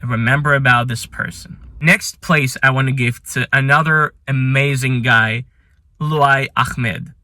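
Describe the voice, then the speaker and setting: A man talks in English, which is not his first language, with an earnest, admiring tone and some halting, imperfect phrasing. His voice is bright and forceful, at a middle pitch for a man. It sounds very dry, close on the mic. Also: no room sound. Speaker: channel host. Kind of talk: ranking list commentary.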